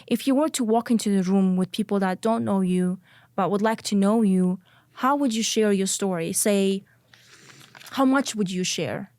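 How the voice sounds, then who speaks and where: A woman's voice: medium pitch, moderate pace, darkish tone, no echo. Woman interviewer, dry close sound. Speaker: podcast host. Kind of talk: conversational interviewing.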